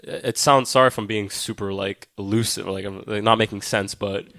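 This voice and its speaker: A man's voice: lowish voice, fairly even intonation, fairly quick, fairly bright tone, no echo, studio quality. A man co-hosting a film podcast, talking casually with swearing, emphatic and argumentative, often repeating himself to press his point. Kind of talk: casual, profane film discussion.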